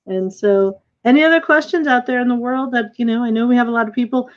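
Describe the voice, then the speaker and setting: A woman's voice: on the high side, quick pace. Woman host on a dull video call. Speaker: host and theater director. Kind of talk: casual panel discussion.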